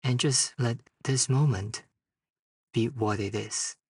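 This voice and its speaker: Guy doing guided meditation, really slow w long pauses, kinda husky, sounds roomy and far from the mic. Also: mid-range voice, a little mellow, studio quality. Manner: unhurried short phrases with long pauses. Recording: distant mic in a roomy, echoing space.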